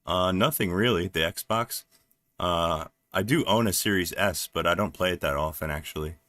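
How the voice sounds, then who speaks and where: A man from New Jersey with a deep voice, chatting casually and haltingly on a live stream while he works at his computer, with lots of fillers. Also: bright, forceful tone, no room sound. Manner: rambling, halting, many fillers, laughs often. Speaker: livestreaming developer.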